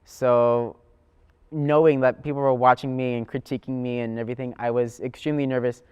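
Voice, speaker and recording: A man's voice: medium pitch, little pitch movement, a little fast, smooth, highs cut off. A teenage young man, a student actor, giving casual answers in an interview. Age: teens.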